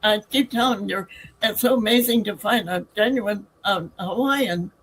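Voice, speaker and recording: This is a woman co-hosting a casual podcast interview. She sounds warm and enthusiastic and hesitates now and then. Faint background noise sits under her voice. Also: medium pitch, normal speed, rough recording. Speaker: podcast co-host.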